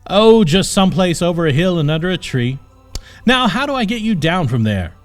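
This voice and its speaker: A man's voice: high voice, sing-song, normal speed, soft background music, voice pushed up. A man playing a carefree young knight in an audiobook, breezy and mock-chivalrous. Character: cheerful, carefree young knight, boastful and casual.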